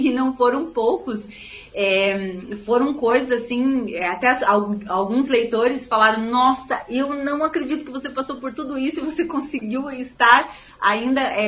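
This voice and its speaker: Woman, author in an interview, earnest motivational talk, bit high voice, roomy echo. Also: fairly bright tone. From Paraná, Brazil. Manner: earnest, motivational, repeats phrases. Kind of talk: interview answers. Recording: echoey room.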